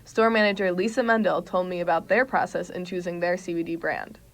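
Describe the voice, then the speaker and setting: A woman's voice: on the low side, steady tone, a little fast. A young woman working as a student TV reporter, reading a scripted news report. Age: young adult.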